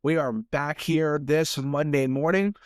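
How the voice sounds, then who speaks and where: A man's voice: fairly high pitch, steady tone, measured pace, clean tone, no room sound, studio quality. A young man with a stuffy nose hosting a solo sports podcast in a casual, rambling way, often repeating words as he restarts. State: stuffy from sinuses. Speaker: podcast host.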